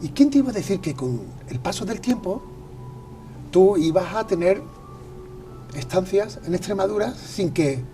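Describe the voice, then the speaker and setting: A man's voice: sits high, lively intonation, moderate pace, long pauses, dark tone. A male TV host interviewing a guest, asking short prompting questions and giving encouraging replies. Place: television studio. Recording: distant mic, music underneath.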